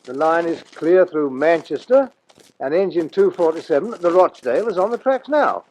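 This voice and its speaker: An actor playing an eager, deferential railway subordinate in a 1940s radio drama. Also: male voice, on the high side, lively intonation, normal speed, a little mellow, dull audio.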